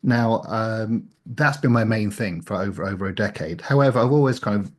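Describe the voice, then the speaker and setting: Guy being interviewed, lowish voice, casual, bit hesitant, self-deprecating. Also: normal speed. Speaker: interview guest, audio drama writer.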